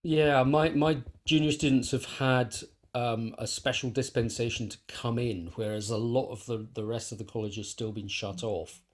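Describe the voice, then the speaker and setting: A middle-aged man being interviewed in casual conversation, speaking in a reflective, halting way as he thinks aloud. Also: mid-range voice, fairly even intonation. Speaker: musician and teacher being interviewed.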